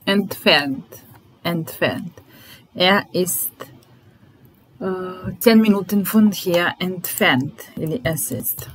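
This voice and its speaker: A woman, teaching vocabulary in a deliberate, repetitive, instructional way. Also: lowish voice, rough recording. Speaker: language teacher. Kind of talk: vocabulary lesson, word-by-word dictation with repeated phrases. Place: small room indoors. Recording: background noise with keyboard typing.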